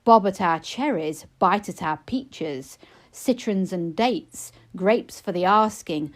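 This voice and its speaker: A woman's voice: medium pitch, expressive, takes it slowly. A woman voicing sly goblin fruit sellers, coaxing and tempting as they list their wares in a poem.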